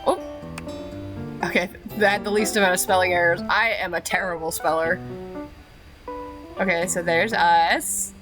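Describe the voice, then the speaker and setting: A woman's voice: on the low side, fairly even intonation, moderate pace, long pauses. Brief: woman streamer with a cold, chatty and rambling, game music playing under her. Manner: chatty, filler-heavy, giggly. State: has a cold. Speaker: let's play streamer. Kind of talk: casual gameplay commentary.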